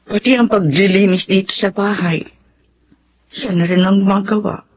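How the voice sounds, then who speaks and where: A woman's voice: mid-range voice, steady tone, moderate pace, lightly acted. An actress playing a grieving, grateful woman in a Filipino radio drama, speaking in a sincere, heavy-hearted way. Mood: weary, sorrowful. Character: grieving, grateful adult woman, Remedios, orphaned landowner. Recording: dull radio recording with background noise.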